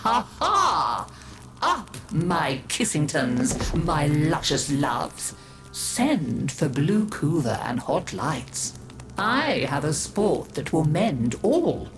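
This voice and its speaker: A woman voicing a bored, cruel decadent noble who exclaims with shrill glee. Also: sing-song, takes it slowly, exaggerated voice. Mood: gleeful.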